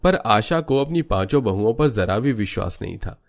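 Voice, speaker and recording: A man's voice: lowish voice, animated, moderate pace, vocal fry, faint music behind. A man narrating a scripted children's cartoon story.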